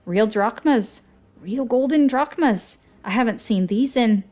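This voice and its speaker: A woman voicing a tall, elegant male ferryman of the dead in an audiobook, smooth, condescending and coldly sardonic. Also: a little high, measured pace, darkish tone, pitched above the performer's own voice, natural voice. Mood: cold, suspicious.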